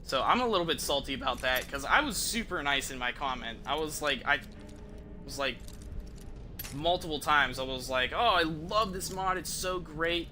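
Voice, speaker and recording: A man's voice: fairly high pitch, animated, normal speed, pushed and bright, a little hiss or hum. A man doing casual, profane and slangy commentary as he livestreams a video game. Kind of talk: casual gameplay commentary to stream viewers.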